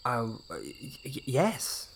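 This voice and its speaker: Man acting anxious dog walker, hesitant and slow, lively pitch, faint night noise behind. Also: gravelly, full character voice. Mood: nervous. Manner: hesitant, stammering. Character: nervous, bewildered man out walking his dog. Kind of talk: comedy-horror audio drama acting.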